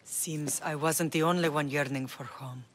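A woman's voice: low-pitched, fairly even intonation, normal speed. An actress playing a middle-aged Greek mother in a video game, earnest, yearning and solemn. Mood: wistful. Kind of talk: video game cutscene acting.

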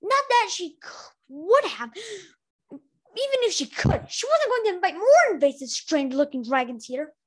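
A woman's voice: very high-pitched, big pitch swings, normal speed. A woman narrating a novel aloud expressively, sometimes stumbling over words and rereading them. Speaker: storybook reader.

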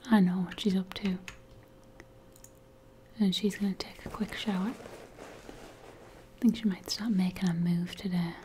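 A woman's voice: on the low side, steady tone, a little slow, pauses often, dark tone. A woman whispering playful, bossy commentary while playing a life-sim game. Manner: whispering, wry and bossy toward game characters. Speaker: gamer.

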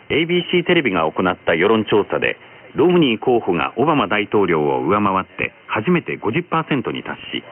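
Male radio news announcer, formal scripted read, very lively intonation, thin shortwave sound with static. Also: lowish voice. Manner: formal, measured delivery. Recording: noisy, narrow-band shortwave radio reception. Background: radio static and noise.

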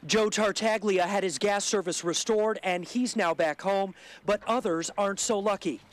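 A man in his thirties reporting live outdoors at night with a handheld mic, brisk and earnest. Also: sits high. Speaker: field reporter. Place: outdoors at night in a residential street.

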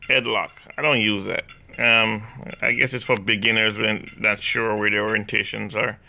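A man with a Jamaican accent, talking through drone setup software in a hesitant way, full of fillers and restarts. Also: lowish voice, level tone, normal speed, vocal fry, slight background noise. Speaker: hobbyist demonstrating drone software. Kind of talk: casual screen walkthrough. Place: indoors, room with a laptop.

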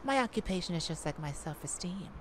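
A sassy female thief, acted by a woman in a flirty ASMR roleplay. Her voice sits fairly low and swings expressively as she teases with theatrical self-assurance. There is clear ambient noise behind her. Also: lively intonation, lightly acted. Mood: flirty, smug. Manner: teasing, sassy, theatrical, drawn-out purring delivery.

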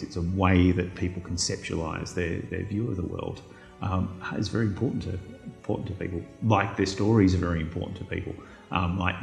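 A man's voice: low voice, vocal fry. A middle-aged Australian man, a historian giving hesitant, reflective interview answers and often repeating words. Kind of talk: thoughtful interview talk. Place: indoor room, seated. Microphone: lapel. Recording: echoey room with faint music.

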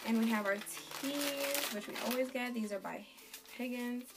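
A young woman in her twenties with a croaky, sore-throated voice, chatting casually to camera as she unboxes a subscription box in her bedroom. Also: fairly high pitch, moderate pace, very noisy. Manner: chatty, reads product labels aloud. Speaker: beauty and lifestyle vlogger. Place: small bedroom in front of a red wall.

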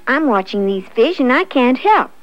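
A woman's voice: high voice, very animated, normal speed, no echo, voice pushed up. A woman voicing a busy, unhelpful male cat in a children's story, full of dismissive excuses. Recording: dull lo-fi sound with faint music.

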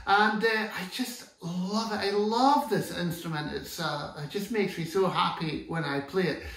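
A man's voice: sits high, measured pace, fairly bright tone. A Scottish man in his fifties explaining an instrument in a chatty, enthusiastic way, often restarting his sentences, seated in a living room. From Scotland. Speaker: concertina teacher. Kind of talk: relaxed tutorial explanation. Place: living room, sitting on sofa. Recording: echoey room from far off.

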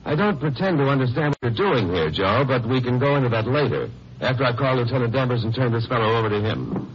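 An actor playing a steady, wry lawyer-sleuth hero in a 1950s radio crime drama. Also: male voice, medium pitch, quick pace, lightly acted. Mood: earnest. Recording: muffled, noisy old radio recording.

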